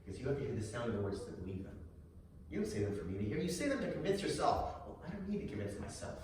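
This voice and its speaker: A man's voice: medium pitch, very animated, racing. Actor as troubled male patient, very echoey, distant. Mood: defensive, earnest. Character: Sam, troubled, defensive male psychiatric patient trying to remember. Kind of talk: theatrical stage acting. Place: live stage showcase in a room. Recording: very echoey room, distant mic, noisy background.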